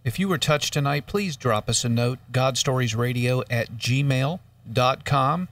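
A man co-hosting a talk show who chats in a relaxed, joking way with many fillers. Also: mid-range voice, measured pace, no echo, far from the mic. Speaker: radio show co-host. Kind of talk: casual talk show chat.

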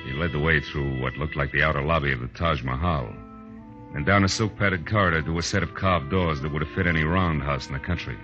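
An actor playing a tough, wisecracking American private detective in a 1940s radio drama, with a wry, clipped, hard-boiled delivery. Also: male voice, extremely low pitch, brisk. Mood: wry narration. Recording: dull 1940s radio recording, faint music.

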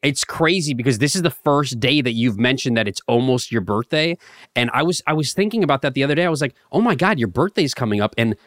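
A man's voice: mid-range voice, animated, quick pace, fairly bright tone, no echo, studio quality. Earnest rambling young man. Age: young adult. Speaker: podcast co-host. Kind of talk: casual podcast banter.

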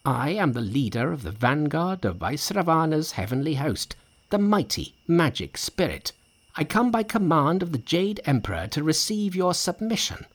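Someone doing a pompous warrior spirit from a storybook, imperious, threatening, lots of swing in the pitch. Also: sing-song, moderate pace. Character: pompous, menacing male warrior spirit, heavenly commandant. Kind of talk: dramatised storybook reading.

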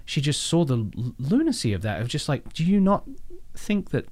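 A man's voice: fairly high pitch, big pitch swings, normal speed, a little mellow. A man chatting casually as an interview guest, telling his story with asides. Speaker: voice actor guest.

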